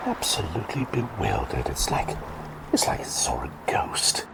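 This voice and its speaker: A man's voice: rumbling low, wildly swooping pitch, normal speed, creaky, heavily acted, low-quality recording. A man voicing a quirky, eccentric male plague doctor who has turned into a small talking bird, chatting and rambling in a whispered roleplay. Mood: soothing whisper. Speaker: ASMR roleplay performer.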